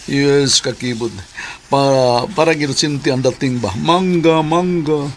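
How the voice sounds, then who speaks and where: A man's voice: mid-range voice, a little slow, a little hiss or hum, natural voice. A man in a Bisaya radio drama playing a street hustler who poses as a fruit seller. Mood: sly, casual.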